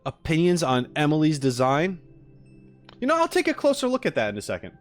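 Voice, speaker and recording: A man's voice: on the high side, huge pitch swings, fairly quick, soft background music. A man chatting casually as a livestreamer, rambling and slangy as he talks to his viewers. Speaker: streamer hosting a live chat.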